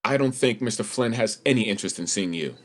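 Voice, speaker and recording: A man's voice: fairly low pitch, animated, quick pace, close to an everyday voice. An actor playing an earnest, plucky young male understudy in a radio play. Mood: defiant.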